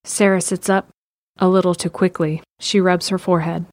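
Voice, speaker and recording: A woman's voice: on the low side, level tone, moderate pace, darkish tone, dry recording. A woman reading scripted narration for an audio drama, describing the action as narrator. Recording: faint music under the voice.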